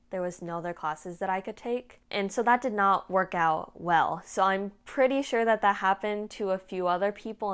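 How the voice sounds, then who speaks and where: A teenage female vlogger talks informally to her peers in a mid-pitched voice. She is frank and conversational, explaining tips one by one like an older student helping younger ones. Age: late teens, high school student. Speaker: vlogger giving application tips. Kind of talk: casual advice talk to camera. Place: small indoor room at home. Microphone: clip-on lapel mic.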